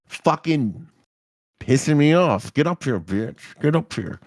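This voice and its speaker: A man in his thirties co-hosting a podcast, rambling loudly and swearing through casual banter. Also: a little high, expressive, measured pace, no room sound, clean studio sound. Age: 30s. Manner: loud, rambling, swearing, jokey. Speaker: podcast co-host.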